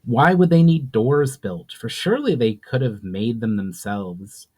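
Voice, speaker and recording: A man's voice: medium pitch, expressive, measured pace, a little mellow, breathy edge. A man playing a curious, forthright male elf hero in a dramatic fantasy audiobook, speaking with emphatic, questioning delivery. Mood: curious, insistent. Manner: emphatic, inquisitive.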